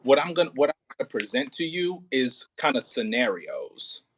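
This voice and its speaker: A man coaching an actor casually as an audio play director, thinking aloud in halting, repetitive phrases and sounding encouraging. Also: mid-range voice, sing-song, fairly bright tone, a little hiss or hum, low-quality recording.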